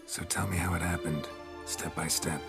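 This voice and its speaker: An actor playing a terse middle-aged monster hunter who asks short questions in a video game. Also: male voice, low-pitched, steady tone, moderate pace, lightly acted. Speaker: game character. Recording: game audio over music.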